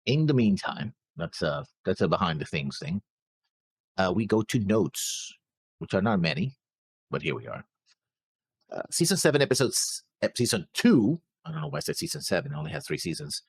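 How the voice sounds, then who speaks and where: A man co-hosting a reaction show, casually discussing an anime episode in a halting way, often repeating words. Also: sits low, very animated, slightly rough, no echo.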